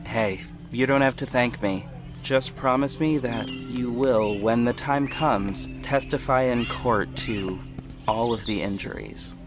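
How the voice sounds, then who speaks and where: A man playing a protective, self-assured ex-cop detective with a measured, firm delivery in an audio drama. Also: medium pitch, natural voice. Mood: reassuring. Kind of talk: first-person audio drama narration and dialogue. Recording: music bed behind the voice. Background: music.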